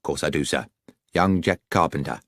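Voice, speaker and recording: A man's voice: a bass voice, normal speed, a bit bright, dry recording, studio quality. A man voicing an orderly, deferential country station master in an audiobook, plain-spoken and sure of himself. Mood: matter-of-fact. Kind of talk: audiobook character voice.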